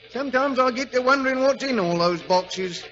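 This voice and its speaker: An actor playing a chatty, folksy railway guard with a working-class English accent and a sniffly nose, in 1940s radio drama style. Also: male voice, very high voice, lively intonation, normal speed, pushed and bright, full character voice. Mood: chatty, eerie. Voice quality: sneezy, stuffed-up with a cold. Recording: muffled vintage radio broadcast with music.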